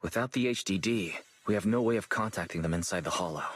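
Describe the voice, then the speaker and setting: A calm young man from an anime-style game. His low, softly husky voice is measured, earnest and thoughtful, recorded close and dry. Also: no room sound. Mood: serious, concerned.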